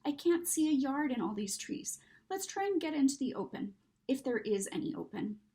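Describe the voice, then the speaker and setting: A woman voicing a confident, sensible elder schoolboy in a storybook, with brisk old-fashioned phrasing. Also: sits high, very animated, warm tone, pitched above the performer's own voice.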